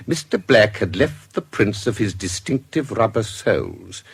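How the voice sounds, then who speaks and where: A shrewd British investigator in a radio drama, laying out a case with formal precision. His voice is low and rough-edged, and it rises and falls widely as he builds each deliberate point. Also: exaggerated voice. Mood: methodical, grave. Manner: formal, measured, deliberate storytelling. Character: shrewd, composed male investigator recounting a case, precise and discreet.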